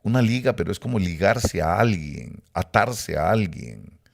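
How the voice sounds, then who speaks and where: A middle-aged man from Rica, speaking, preaches in a very deep register with a gravelly rasp. He climbs and swells on the words he stresses, then sinks back into soft low asides. His pace shifts often as he teaches. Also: big pitch swings, measured pace. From Costa Rica. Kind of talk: sermon teaching.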